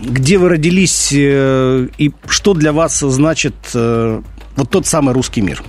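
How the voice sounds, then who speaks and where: A man's voice: mid-range voice, measured pace, soft background music. A man hosting a live radio interview, asking polite questions with hesitant fillers. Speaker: radio host.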